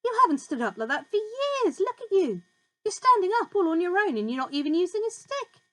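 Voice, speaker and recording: Woman reading as an eager storybook boy, high and lively. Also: normal speed. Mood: excited. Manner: eager, bright, boyish. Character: young boy George, eager and excitable.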